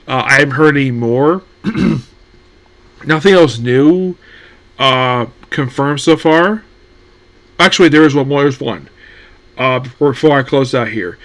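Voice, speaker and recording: A man's voice: medium pitch, fairly bright tone. A man hosting a casual solo anime news talk, rambling with many fillers and restarts.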